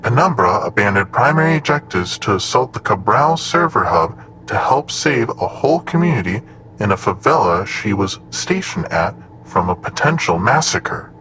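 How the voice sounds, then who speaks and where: An actor playing a formal, precise, unflappable android assistant in an audio drama, reporting in a measured manner. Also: male voice, on the low side, sing-song, sharp tone, poor audio. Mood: matter-of-fact. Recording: clear background noise with typing.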